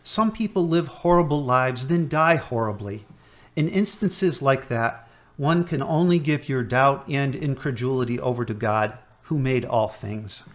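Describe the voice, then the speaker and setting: A man playing a gentle hospital chaplain. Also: medium pitch, natural voice. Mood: measured. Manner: gentle, measured preaching tone. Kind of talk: amateur radio drama acting.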